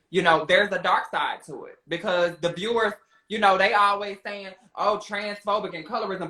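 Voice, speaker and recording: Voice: mid-range voice, pushed and bright. A person in a heated casual debate as a talk guest, speaking in a sassy, insistent way and repeating points for emphasis. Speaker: guest discussing a reality show. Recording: roomy echo.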